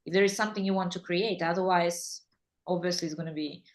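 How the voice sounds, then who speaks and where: A woman's voice: lowish voice, fast talker. A woman with a Russian accent hosting an interview in English, chatty and enthusiastic. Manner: enthusiastic, chatty, laughs easily. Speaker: interview host and English teacher. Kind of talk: casual interview chat.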